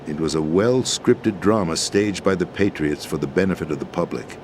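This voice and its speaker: An actor playing a weary, formal deposed president and schemer in a video game, explaining things in a measured, deliberate way. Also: male voice, low voice, noisy background. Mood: grave, measured. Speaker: video game character in a cutscene. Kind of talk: dramatic expository video game acting.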